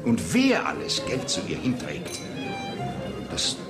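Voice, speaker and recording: An agitated gentleman banker in a 1970s radio drama. His medium-pitched voice leaps about with sardonic exasperation, and music is loud behind him. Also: wildly swooping pitch, slow, heavily acted. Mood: exasperated. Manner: agitated, formal. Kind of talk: radio play acting.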